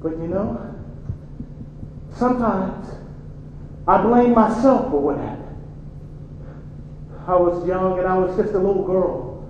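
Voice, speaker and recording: A man's voice: sits high, a little mellow, recorded from a distance, slight background noise. A man playing a sorrowful mother recalling the past. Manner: slow, heavy, halting storytelling. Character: grieving mother recalling a painful youth. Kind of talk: stage play monologue. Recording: echoey, dull live hall recording.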